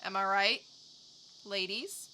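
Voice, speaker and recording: A woman's voice: mid-range voice, takes it slowly, pushed and bright, slight background noise. A woman co-hosting a podcast, delivering short news items and remarks with dry, joking timing. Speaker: podcast co-host. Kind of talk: scripted joke news items and casual chat.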